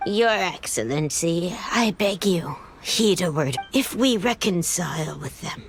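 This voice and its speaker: A woman's voice: on the low side, animated, a little slow, slight background noise. A woman playing an earnest, worried villager in a video game, speaking with imploring deference. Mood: pleading, anxious. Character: earnest, worried female villager pleading with leader. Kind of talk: scripted video game voice acting.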